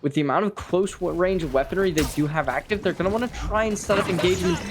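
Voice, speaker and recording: Male esports caster, high-pitched voice, calling live action over clear background noise and clicks. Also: fast. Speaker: esports commentator. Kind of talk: live esports play-by-play commentary. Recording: clearly noisy stream with clicks.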